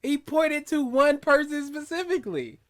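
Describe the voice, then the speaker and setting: A man with a high, bright, forceful voice who fires off one incredulous rhetorical question after another. He sounds like a co-host in a casual commentary podcast. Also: lively intonation, moderate pace.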